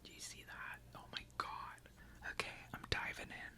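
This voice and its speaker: A woman whispering casually into a close microphone for an ASMR video, chatty and giggly. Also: normal speed. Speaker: ASMR creator.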